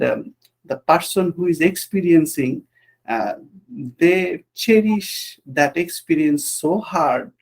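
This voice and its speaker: Male host from Bangladesh, husky, raised voice, halting, earnest. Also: a little high, darkish tone. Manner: earnest, halting with restarts and fillers. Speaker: podcast host. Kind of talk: conversational interview.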